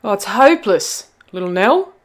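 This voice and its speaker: A woman voicing a gloomy, impatient young male dingo pup in a children's storybook, loud and complaining. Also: medium pitch, sing-song, normal speed, lightly acted. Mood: despairing.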